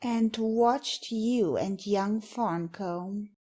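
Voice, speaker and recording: Female actor for tart, slangy young woman of stage satire; measured delivery, mocking edge. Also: mid-range voice, warm tone. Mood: scornful. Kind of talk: acted stage satire in audio.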